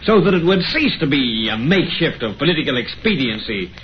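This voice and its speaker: A man's voice: fairly high pitch, big pitch swings, a little fast, a little hiss or hum. A man playing an earnest, principled young lawyer in an old radio drama, polite and formal in diction. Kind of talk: 1930s radio acting. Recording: muffled old radio recording.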